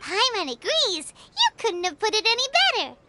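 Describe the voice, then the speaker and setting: Casting: an excitable pint-sized fairy sidekick for an anime game, piping childlike pitch, music underneath. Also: female voice, normal speed. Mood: delighted. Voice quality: squeaky, childlike. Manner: speaks of self in third person, bubbly. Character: tiny excitable childlike fairy companion, cheerful and food-loving.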